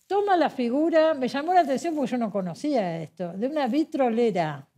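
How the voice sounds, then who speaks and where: A woman's voice: sits high, expressive, brisk, warm tone. A woman with a Buenos Aires accent interviewing conversationally, prompting her guest and echoing words back. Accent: Buenos Aires Argentine.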